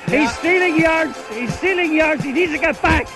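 An Irish man with a very high, strained voice who cries out and pleads at the game as it plays. Loud match audio is clearly heard behind him. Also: moderate pace, over loud music. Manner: anguished, pleading, excitable.

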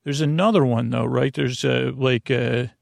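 A man hosting a bedtime podcast in a halting, meandering monologue that circles back on itself, with a gravelly voice. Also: medium pitch, steady tone, no echo, studio quality. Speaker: podcast host.

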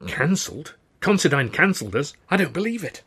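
A man's voice: medium pitch, big pitch swings, brisk, breathy edge, heavily acted. A man voicing an earnest, anxious vaudeville company man in a story, speaking in an urgent manner. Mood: shocked disbelief. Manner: urgent, placating.

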